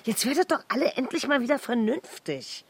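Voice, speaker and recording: A fairly high-pitched woman in her forties in a radio drama, exasperated and anxious. She talks with biting, agitated insistence and repeats herself. There is slight ambient noise around her. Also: sing-song, brisk, vocal fry, heavily acted. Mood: exasperated, alarmed. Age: 40s. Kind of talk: naturalistic radio drama dialogue.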